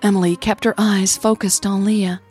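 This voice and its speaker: A woman with a husky voice reading scripted story narration for an audio drama. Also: mid-range voice, little pitch movement, normal speed, darkish tone, soft background music.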